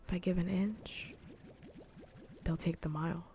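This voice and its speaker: A woman livestreamer chatting casually in ASMR style while gaming, soft, breathy and often whispering, with a playfully scolding manner. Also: lowish voice, fairly even intonation, a little slow.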